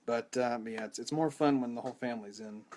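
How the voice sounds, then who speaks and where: A young man runs a casual live podcast stream from home into a studio microphone. His voice is hoarse and rattly, and he talks in loose, rambling runs full of restarts and self-deprecating asides, sitting at an ordinary middle pitch for a man. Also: quick pace, a little mellow. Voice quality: rattly, strained voice. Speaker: podcast host. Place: home room with mic.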